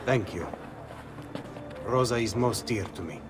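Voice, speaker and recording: An actor voicing a shrewd, middle-aged male guild leader, calm and in charge. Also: on the low side, measured pace. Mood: wry. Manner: calm, wry, takes charge. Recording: game cutscene with music underneath.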